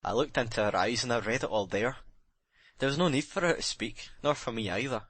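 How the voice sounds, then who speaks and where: A man's voice: medium pitch, lively intonation, fairly quick, fairly bright tone, breathy edge, no echo. A man playing a bitter, remorseful sailor making a brooding, emphatic confession in a dramatic audiobook reading.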